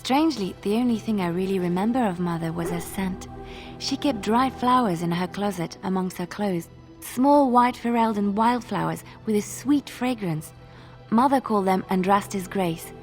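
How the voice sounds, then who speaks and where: Gentle young bard actress. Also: female voice, medium pitch, moderate pace, soft background music, lightly acted. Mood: wistful. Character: gentle, wistful young female bard raised abroad. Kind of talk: video game dialogue acting.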